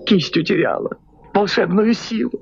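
Gentle cartoon painter, gravelly and expressive. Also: male voice, high voice, normal speed. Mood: uncertain. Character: gentle, downhearted male painter hero. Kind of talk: animated film dub in Russian. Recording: dull old film soundtrack under music.

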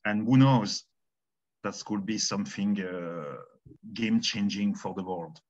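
A man from Switzerland with a Swiss French accent, co-hosting an online meeting in halting English and often repeating words. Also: on the low side, a little mellow, highs cut off. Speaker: community meeting co-host. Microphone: video call.

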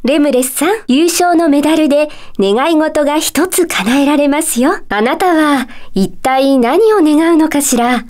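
A gentle female teacher of magic from a puzzle video game. Her voice is very high, and she speaks with courteous, composed phrasing. There is a calm air of mystery to her kindly manner. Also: unhurried, few pauses. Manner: polite, soft feminine phrasing, composed and kindly. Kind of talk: Japanese video game character dialogue.

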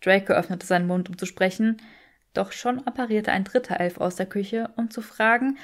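A woman's voice: medium pitch, moderate pace. A woman reading fiction as an audiobook narrator in scripted narration.

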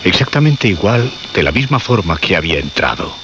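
Deep, grave horror narrator, male. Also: sing-song, very noisy. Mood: suspenseful. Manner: grave, measured, dramatic short phrases. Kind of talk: scripted radio horror narration. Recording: muffled radio recording over clear music.

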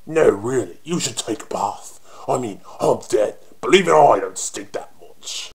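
A man voicing a cocky, flirty undead punk rocker monster for a game, with a raspy British accent and cheeky taunting slang. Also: medium pitch, animated, unhurried, heavily acted. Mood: mocking. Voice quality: raspy, husky. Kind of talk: video game character voice demo.

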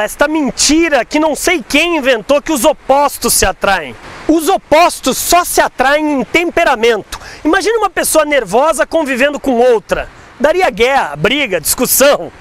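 A Brazilian man in his forties with a strikingly high voice delivers an emphatic, persuasive motivational talk straight to camera. He speaks through a lapel mic while standing outdoors on an overpass above traffic, asking the listener rhetorical questions. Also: a bit bright. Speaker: sales speaker and host.

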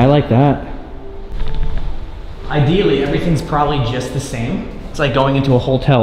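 A young man in his twenties talking excitedly to a handheld camera as he explores an abandoned sea fort, thinking aloud. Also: medium pitch, a little hiss or hum, poor audio. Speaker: urban explorer vlogger. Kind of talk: casual handheld vlog narration. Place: inside an abandoned sea fort, concrete corridors and rooftop.

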